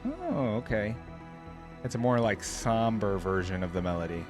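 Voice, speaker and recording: Enthusiastic man reacting over loud background music. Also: fairly low pitch, sing-song, moderate pace. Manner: enthusiastic, slangy. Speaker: reaction video host. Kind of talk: casual reaction commentary. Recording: music playing behind the voice. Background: game theme music.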